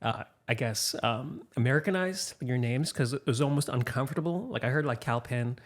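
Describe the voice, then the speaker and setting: A man's voice: medium pitch, fairly quick. A man hosting a casual podcast chat, telling stories and joking with his cohosts. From United States. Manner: laughs while telling stories, jokes with cohosts. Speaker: podcast host.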